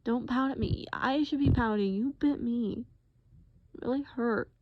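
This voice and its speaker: A woman playing a gentle, patient boyfriend in acted-out roleplay, coaxing with pet names and simple, repeated reassurances. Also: fairly high pitch, measured pace, highs cut off, background noise, pitched above the performer's own voice, natural voice. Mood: hurt, scolding. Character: gentle, patient boyfriend soothing a groggy partner.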